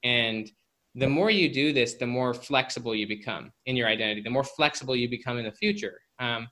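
Male interview guest, psychologist type. Bright, punchy tone, casual phrasing, peppered with "like" and "you know". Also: medium pitch, a little fast. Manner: informal, filler-heavy with frequent "like" and "you know". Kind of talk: conversational interview explanation with anecdotes.

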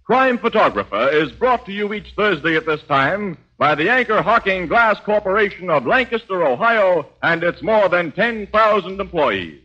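Polished American male announcer, forceful and lively, 1940s sponsor read, dull vintage broadcast sound. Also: high-pitched, moderate pace, hardly any pauses. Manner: polished, emphatic. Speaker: radio announcer. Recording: muffled 1940s radio recording.

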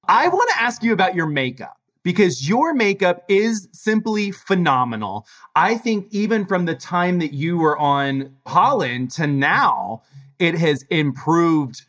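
A man's voice: on the high side, a bit bright. A man hosting an interview, asking eager questions and heaping praise on his guest. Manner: effusive, gushing with praise. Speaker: interview host.

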